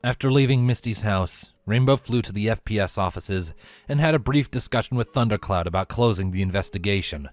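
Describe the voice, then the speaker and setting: A man with a fairly low voice narrates a written story. He reads it as a scripted third-person tale. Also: brisk, dry recording, soft background music. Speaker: narrator of fan fiction.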